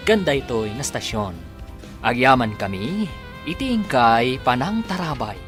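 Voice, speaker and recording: A man's voice: medium pitch, sing-song, unhurried. A man with a Filipino accent narrating and announcing a radio serial in a dramatic scripted style. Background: music.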